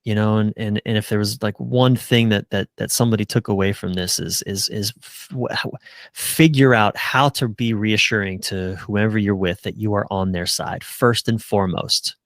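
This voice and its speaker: A man's voice: lowish voice, steady tone, moderate pace, gravelly, dry recording, clean studio sound. A middle-aged man giving earnest, casual answers as a relationship coach in a conversational interview. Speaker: relationship coach, podcast guest.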